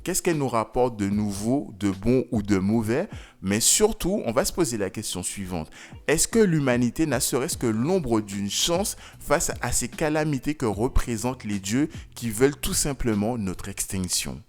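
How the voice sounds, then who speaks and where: A man's voice: mid-range voice, lively intonation, moderate pace, darkish tone. A man hosting a review video, speaking in scripted commentary with conversational asides and theatrical, emphatic phrasing. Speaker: video reviewer and channel host.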